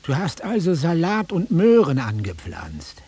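An actor playing a bored, blunt middle-aged travelling salesman with a raspy voice in a naturalistic radio drama. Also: fairly high pitch, wildly swooping pitch, a little slow, a little mellow, full character voice. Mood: offhand. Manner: offhand, dismissive, grumbling.

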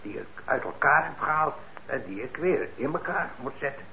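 An actor in a Dutch radio play playing a kindly, weary older instrument repairer, mild and hesitant, with a gravelly voice. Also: male voice, a little high, animated, normal speed, sharp tone. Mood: mild, busy. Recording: muffled, noisy old radio recording.

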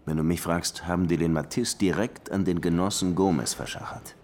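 An actor playing a weary, sardonic police detective in a German radio crime drama, speaking in a dry, probing way. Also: male voice, deep voice, steady tone, fairly quick, a little mellow, a little hiss or hum, close to an everyday voice. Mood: assured.

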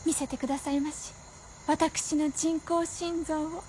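A woman's voice: high-pitched, little pitch movement, measured pace, dark tone, a little hiss or hum. A woman playing an ailing, proud female scientist and devoted wife in a radio drama, speaking in a very formal, genteel, composed way. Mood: tender. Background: music.

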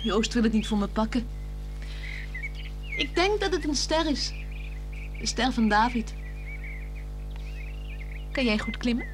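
High-voiced, flirtatious, capricious woman. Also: animated, a little slow, long pauses. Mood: playful, excited. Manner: coquettish, teasing, swinging from hushed to exclaiming. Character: playful, flirtatious, capricious married woman luring a man. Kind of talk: 1960s Dutch radio play acting. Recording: 1960s radio drama with music.

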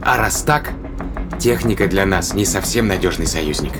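A man's voice: low-pitched, moderate pace, music in the background, natural voice. An actor playing a determined, risk-taking man in an audio drama, arguing insistently and persuasively with rhetorical questions. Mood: urgent reasoning. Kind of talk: dramatic audio play acting.

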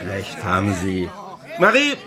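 Boisterous pastor, loud tavern behind. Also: male voice, low-pitched, wildly swooping pitch, a little slow, crowd noise, exaggerated voice. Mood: mocking. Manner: loud, commanding, mocking. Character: boisterous, domineering village pastor, defiant drinker. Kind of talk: German radio play acting.

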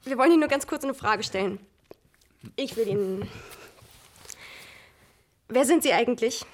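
A woman in a German radio drama playing a brash, excitable teenage girl in a youth gang. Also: fairly high pitch, normal speed. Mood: cheeky.

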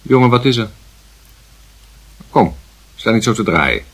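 An actor playing a stern, dismissive father with a gruff, admonishing manner in a radio drama. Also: on the low side, very animated, unhurried, fairly bright tone, full character voice.

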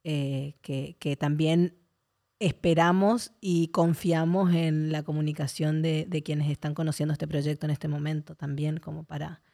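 A woman's voice: deep voice, level tone, a little slow, vocal fry, mellow. A woman from a community project talking casually on a podcast, rambling and restarting her sentences.

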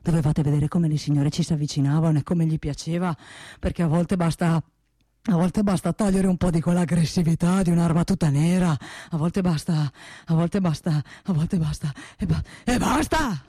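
A woman's voice: on the low side, moderate pace, dark tone, no echo, clean studio sound, pitched below the performer's own voice, natural voice. A woman playing a blustering, self-justifying male factory boss in a comic stage monologue, pompous and obsessively repetitive. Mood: boastful. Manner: pompous, repeats himself obsessively.

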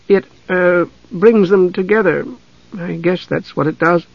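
Guy doing a young woman from a fantasy audiobook, high pitched, haughty n defensive, kinda muffled recording. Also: animated, moderate pace, gravelly, no room sound, full character voice. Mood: flustered. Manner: hesitant, defensive, offhand boasting. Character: haughty, evasive young woman of mixed human blood.

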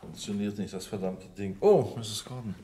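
A man's voice: lowish voice, moderate pace, background noise, close to an everyday voice. A man playing a dry, terse police inspector asking pointed questions in a radio crime play. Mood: weary.

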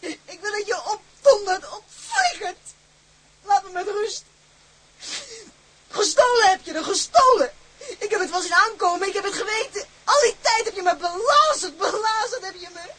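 A very high-pitched, tearful girl in a period drama. She is furious and wounded, spitting insults through sobs, with faint noise and hum behind her. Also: animated, moderate pace, a bit bright, rough recording. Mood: tearful rage. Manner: shrill, crying, hurling insults. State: crying. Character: furious, hurt girl attached to her ship. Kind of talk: Dutch period drama acting.

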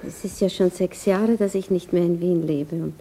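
Actress as timid, very mellow-voiced lady. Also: fairly low pitch, steady tone, normal speed, a little hiss or hum, close to an everyday voice. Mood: hesitant, polite. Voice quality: soft, husky, dark. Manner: hesitant, quiet, reserved. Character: timid, anxious, polite lady visiting an invalid. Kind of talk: 1960s German radio play acting.

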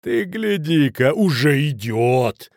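A man's voice: a little high, normal speed, a little mellow, no echo, studio quality, natural voice. A man voicing a bitter, indignant man robbed of his savings, reproachful and shaky, in an audiobook. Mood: mocking.

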